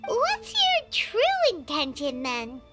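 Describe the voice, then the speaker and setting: A woman voicing a tiny, childlike female fairy companion in an anime game, squeaky, excitable and naive. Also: slow pace, loud music behind the voice, heavily acted. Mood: curious, skeptical. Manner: squeaky, speaks of herself in third person.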